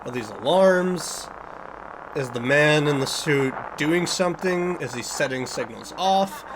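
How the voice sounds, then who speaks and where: A man's voice: fairly high pitch, animated, a little slow, background noise. A man giving casual reaction commentary as a video host, jittery and often repeating himself.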